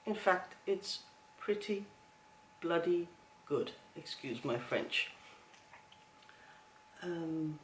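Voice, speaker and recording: Slow, rambling woman vlogger in an echoey room. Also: fairly low pitch, pushed and bright, a little hiss or hum. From Switzerland. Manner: slow and rambling, with many ums. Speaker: flosstube vlogger. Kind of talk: rambling casual vlog.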